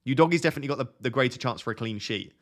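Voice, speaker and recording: A young man hosting a fantasy football live stream, reading viewer questions aloud and answering them in a relaxed, soothing voice. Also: medium pitch, rapid-fire. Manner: self-described relaxing, soothing voice. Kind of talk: casual live stream chat.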